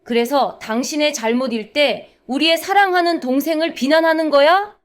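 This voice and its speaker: A woman's voice: sits high, rapid-fire, close to an everyday voice. A woman playing a scolding, bickering sister in a cartoon comic dub, with an accusing manner.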